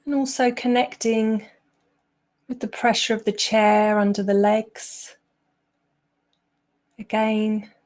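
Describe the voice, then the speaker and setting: A woman guiding a meditation as a teacher, speaking gently and leaving long pauses. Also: on the high side. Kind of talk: guided meditation.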